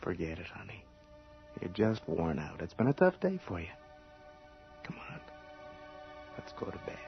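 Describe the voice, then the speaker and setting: An actor playing a practical, coaxing but impatient husband in an old-time radio drama, informal in manner. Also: male voice, low voice, sing-song, moderate pace, vocal fry, over music, heavily acted. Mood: soothing. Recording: muffled, noisy old radio recording.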